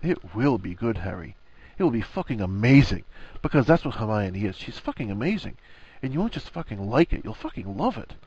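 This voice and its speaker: A man's voice: fairly low pitch, big pitch swings, a little fast, darkish tone, slightly rough, no echo, lo-fi sound. A man voicing a blunt, cheeky, affectionate young husband with slangy talk in a story reading. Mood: firm reassurance.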